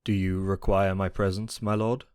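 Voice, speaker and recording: Deep male officer, voiced by an actor, dry close sound, composed, loyal and wry, for sci-fi audio drama. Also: flat delivery, moderate pace, a little mellow, clean tone, dry recording, close to an everyday voice. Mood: calm, wry. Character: loyal, composed, quietly teasing military captain.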